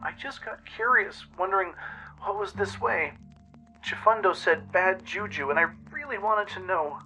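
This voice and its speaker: A man's voice: high voice, moderate pace, bright, forceful tone, slight background noise, voice pushed up, full character voice, poor audio. A man voicing an earnest, curious young filly pony in a radio play.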